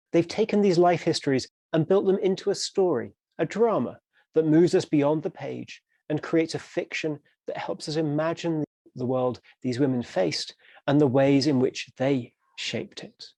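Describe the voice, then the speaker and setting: A man speaking as a professor, giving a measured, explanatory scripted introduction. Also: a little high, vocal fry, darkish tone, no room sound. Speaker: professor introducing an audio drama.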